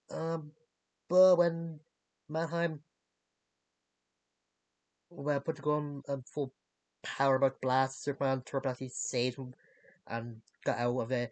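A young adult man giving a casual recap in a halting, disjointed way, searching for words. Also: mid-range voice, darkish tone, dry recording. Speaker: reviewer of animated series. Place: small cluttered bedroom, indoors.

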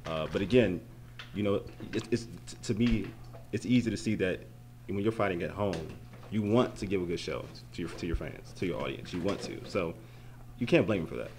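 A man giving post-fight boxing analysis into a handheld mic at an indoor arena event, animated and prone to repeating words as he thinks. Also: deep voice, a little fast, noisy background.

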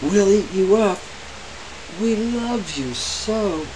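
A man's voice: high-pitched, animated, extremely slow, pitched above the performer's own voice, full character voice. A man voicing clingy, hungrily affectionate wild monsters, wailing and imploring, in a storybook reading. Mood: pleading. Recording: hissy, noisy home recording.

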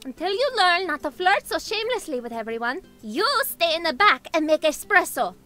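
A woman's voice: very high-pitched, sing-song, a little fast, sharp tone, faint music behind, full character voice. A woman voicing a bubbly young barista in a video game, sweet to customers and bossy toward her brother. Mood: scolding.

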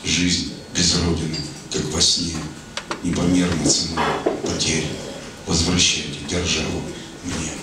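A middle-aged man from the Soviet Union speaking and reciting poetry on stage, in a measured, declamatory manner. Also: a bass voice, little pitch movement, recorded from a distance. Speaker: poet and performer at a bard club evening. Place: small club stage, indoors. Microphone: handheld stage microphone. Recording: echoey club PA from afar, noisy.

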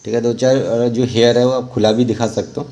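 A man narrating a step-by-step art tutorial as an instructor, informally and haltingly, mixing in English terms. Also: mid-range voice, steady tone, warm tone.

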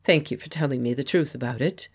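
A woman reading the part of a pleasant, confident gentleman painter who speaks with quiet authority. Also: a bass voice, quick pace, breathy edge, exaggerated voice. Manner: pleasant, firm, persuasive. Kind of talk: audiobook character voice.

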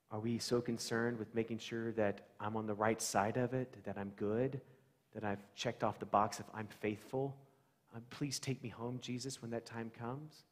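A middle-aged American man preaching a conversational sermon, with animated storytelling, rhetorical questions and dramatic pauses. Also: medium pitch. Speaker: pastor. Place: large church sanctuary, indoors, before a congregation. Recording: reverberant church hall.

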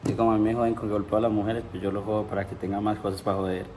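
A man's voice: fairly low pitch, flat delivery, very fast, noisy background. Playful young man streaming. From Colombia. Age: young adult. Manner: joking, teasing, playful. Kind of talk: casual live-stream chat. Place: house at night, indoors and outdoors.

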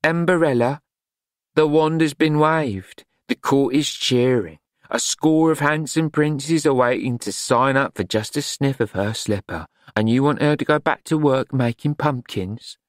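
A flamboyant, cynical fashion man with a sharp tongue, acted by a male narrator in a storybook. He is sardonic and exclamatory, pitched up, in a dry recording. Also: a little slow, dry recording, natural voice. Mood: exasperated, urging.

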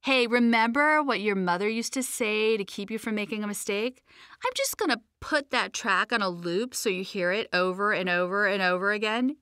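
A woman's voice: medium pitch, a bit bright, clean tone, no echo, studio quality, close to an everyday voice. A woman voicing a mocking, falsely helpful female inner critic in a self-help audiobook.

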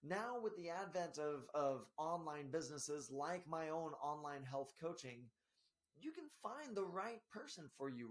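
Man, 30s, solo podcast host, slightly scratchy, higher-set voice, animated office delivery. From Spokane area, US. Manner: energetic, gestures a lot, frequent tag "right?". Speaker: podcast host and health coach. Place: small office in front of a banner.

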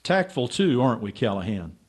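Radio drama lead, male actor, brash insistent sleuth, sarcastic, very lively mid-range voice. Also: mid-range voice, brisk. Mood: indignant, sarcastic. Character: stubborn, brash American male writer turned amateur sleuth. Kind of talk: radio drama acting with narration.

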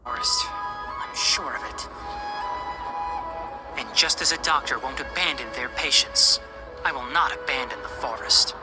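Calm young forest watcher. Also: female voice, very deep voice. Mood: earnest, resolute. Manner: measured, formal, composed. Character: calm, earnest young male fox-eared forest watcher. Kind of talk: scripted game trailer narration of a letter. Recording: trailer playback under loud music.

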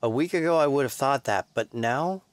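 A calm, earnest male researcher, acted by a man in an audio drama. He explains in a middle-pitched voice, recorded very dry. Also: a little fast, no room sound, close to an everyday voice. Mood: earnest, concerned.

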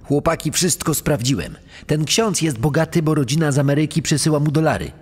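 A man voicing a scheming young small-time crook in a true-crime podcast, husky, slangy and persuasive with his pals. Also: a little high, a little fast, a little mellow. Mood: sly persuasion. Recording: hall-like reverb with music behind.

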